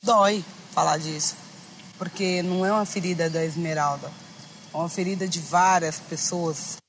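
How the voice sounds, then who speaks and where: A woman's voice: on the low side, steady tone, normal speed, background noise. A woman from Brazil with a Brazilian accent, giving conversational personal testimony as an interviewee. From São Paulo, Brazil. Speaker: interviewee, writer telling her life story.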